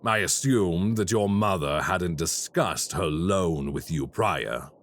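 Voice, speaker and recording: An actor playing a polite, quietly menacing male crime boss in an audio roleplay. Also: deep voice, lively intonation, a little hiss or hum, full character voice. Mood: smooth menace. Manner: slow, courteous and quietly menacing.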